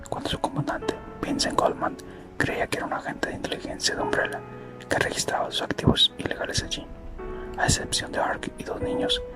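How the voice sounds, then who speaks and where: Male ASMR narrator, fully whispered and breathy, steady scripted read with prominent music underneath. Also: very high-pitched. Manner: hushed, steady reading. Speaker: ASMR channel host. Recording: whisper over background music.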